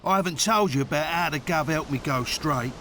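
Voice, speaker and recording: A man playing a rough but loyal reformed bandit sidekick in a video game, with a Cockney accent. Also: a little high, a little fast, fairly bright tone, slight background noise, close to an everyday voice. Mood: eager. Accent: British, Cockney. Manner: rough, colloquial, boisterous. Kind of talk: video game character acting.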